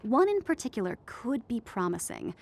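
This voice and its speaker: A woman playing a businesslike tour company manager in a radio play, speaking in a measured, diplomatic corporate way. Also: fairly high pitch, very animated, creaky, darkish tone, a little hiss or hum.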